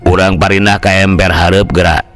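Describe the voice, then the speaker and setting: A man's voice: deep voice, flat delivery, a bit bright, faint music behind, pitched below the performer's own voice. A man voicing a pious old village uncle who explains things patiently and reflectively in a Sundanese storytelling recording. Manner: slow, sage-like explaining with proverbs.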